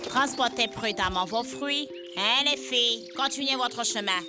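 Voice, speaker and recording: A woman's voice: fairly high pitch, measured pace, natural voice. A woman voicing a fussy female cartoon animal who is prim and instructive, in a children's educational cartoon. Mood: prim, explaining. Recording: loud music under the voice.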